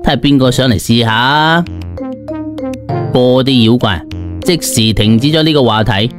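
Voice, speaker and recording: A male storyteller with a mid-to-low voice reads a colloquial tale in the first person. He is expressive and slangy, acting out the drama, with music playing behind him. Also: unhurried. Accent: Cantonese. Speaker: audiobook storyteller. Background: background music.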